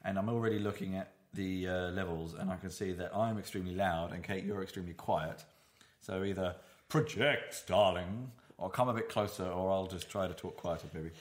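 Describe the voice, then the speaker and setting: A man's voice: low voice, brisk. A man hosting a podcast from home, talking casually on one shared mic, rambling and correcting himself with dry humour. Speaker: podcast host. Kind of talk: casual chat. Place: home apartment. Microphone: single shared microphone.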